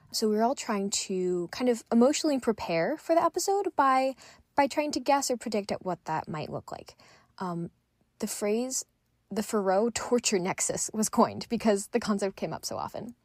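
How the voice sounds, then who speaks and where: A woman's voice: medium pitch, darkish tone, no echo, studio quality. A woman recording a voice message as a listener, sharing personal commentary as a fan.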